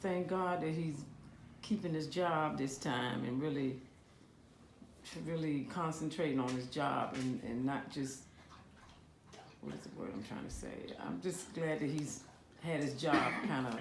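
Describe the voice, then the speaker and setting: A woman's voice: deep voice, a little slow, roomy sound, noisy background. A woman playing a worried mother in her fifties in a role-played family therapy session, talking hesitantly and searching for words. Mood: earnest. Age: 50s. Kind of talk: improvised role play.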